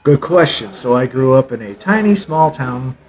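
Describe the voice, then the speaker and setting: A man's voice: mid-range voice, lively intonation, moderate pace, low-quality recording. A man in his forties from Texas chats on a podcast, telling his own story. His voice sits in the middle range. He is blunt and jokey and swears freely into the mic. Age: 40s. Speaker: podcast guest, soldier. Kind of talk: casual podcast chat.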